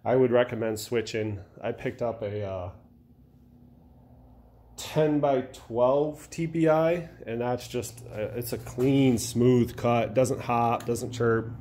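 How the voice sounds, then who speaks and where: An American man giving an informal, blunt, unscripted product review in a halting way, correcting himself as he goes. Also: medium pitch, fairly even intonation, dark tone. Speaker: welder-fabricator reviewing a tool. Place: indoor metalworking garage workshop.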